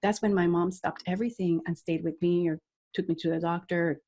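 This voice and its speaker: Reflective woman, lowish voice. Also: fairly even intonation, brisk, mellow. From Puerto Rico. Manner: earnest, reflective, with frequent restarts and laughs. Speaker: guest, life coach. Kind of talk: podcast interview.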